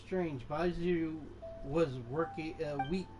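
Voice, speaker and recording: A man hosting a gameplay video, improvising in a halting, hesitant way. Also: a little high, steady tone, over music. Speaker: gameplay channel host. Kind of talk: reading along and improvising over gameplay.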